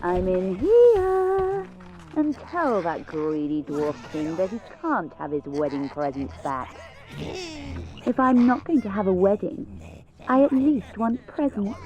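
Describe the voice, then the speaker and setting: A woman's voice: medium pitch, very animated, slow pace, darkish tone. An actress playing a vain, flirtatious and greedy noblewoman in a video game, with a sultry, theatrical drawl. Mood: indignant. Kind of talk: video game character acting. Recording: game music behind.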